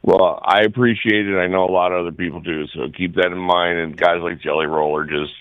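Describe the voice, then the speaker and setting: A man's voice: low-pitched, steady tone, a little fast, no echo. A man calling in to a radio show over a muffled phone line, talking casually and earnestly. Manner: earnest, admiring. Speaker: phone-in caller. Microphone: telephone.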